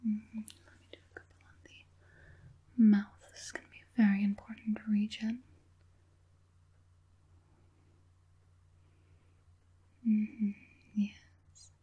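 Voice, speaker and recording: A woman performs an evil witch puppet master in an ASMR roleplay. The voice is an extremely breathy, soft and dark whisper. She speaks slowly and coaxingly, with a sly, falsely soothing sweetness. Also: mid-range voice, completely flat delivery, muffled recording. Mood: softly focused. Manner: coaxing, unhurried, sinisterly sweet.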